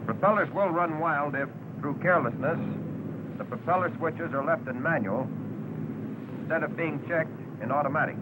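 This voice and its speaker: Noisy vintage narration by an American man. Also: on the high side. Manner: measured, instructive. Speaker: training film narrator. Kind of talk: 1940s scripted instructional narration. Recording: noisy 1940s film soundtrack.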